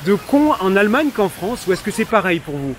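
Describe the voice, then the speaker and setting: A woman's voice: medium pitch, big pitch swings, a little fast, a little hiss or hum. A woman interviewing passers-by on a busy street, asking playful questions. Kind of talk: vox pop street interview. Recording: loud crowd behind.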